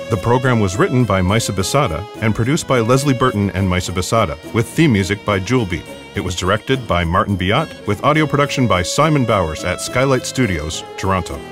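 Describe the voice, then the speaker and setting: Guy announcer doing scripted end credits, lowish voice, music playing behind. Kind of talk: scripted closing credits read.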